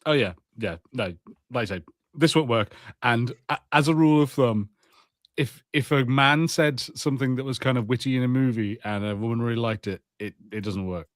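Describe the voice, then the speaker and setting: A man's voice: mid-range voice, moderate pace, no echo, studio quality. A man in his thirties co-hosting a podcast, chatting casually in a hesitant, stop-start way. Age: 30s. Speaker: podcast co-host.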